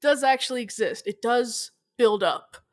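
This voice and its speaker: A woman with a somewhat high voice hosts a casual interview. She speaks informally and bluntly, putting emphasis on her points. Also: unhurried. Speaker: interview host.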